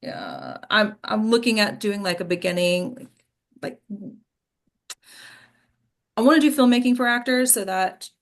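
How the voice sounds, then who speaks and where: Woman, acting coach, informal teaching talk, explanatory, frequent check-ins, mid-range voice. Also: mid-range voice. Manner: explanatory, checks in with "okay?" and "right?". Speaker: acting coach and class host.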